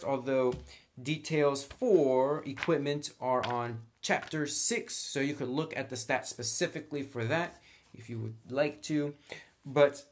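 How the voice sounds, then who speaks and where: A man hosting a casual tabletop roleplaying tutorial, talking informally and thinking aloud as he goes. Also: medium pitch.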